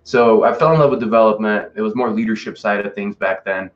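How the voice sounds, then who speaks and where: A lower-pitched man from Russia speaks as a podcast guest. He tells personal stories in an informal, emphatic way, peppered with buddy slang and quick check-ins. The recording is slightly muffled and lo-fi. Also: steady tone. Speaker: podcast guest, fitness and life coach. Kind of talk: casual, personal interview storytelling.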